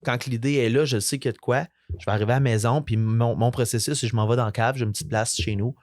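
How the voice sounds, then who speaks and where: A young man with a Franco-Ontarian French accent, a musician chatting as a guest, relaxed and rambling with lots of fillers. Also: medium pitch, quick pace, no echo, studio quality. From Eastern Ontario, Canada. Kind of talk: casual interview chat.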